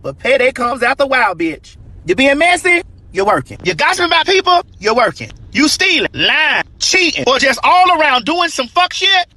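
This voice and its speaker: Very high, animated, sassy American man. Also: moderate pace. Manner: sassy, emphatic, colloquial. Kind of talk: profane comedic monologue to camera.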